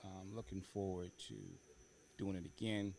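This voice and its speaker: A man's voice: sits low, flat delivery, moderate pace, darkish tone, a little hiss or hum. A man hosting a talk show, chatting in an unscripted way. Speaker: talk show host and stage actor.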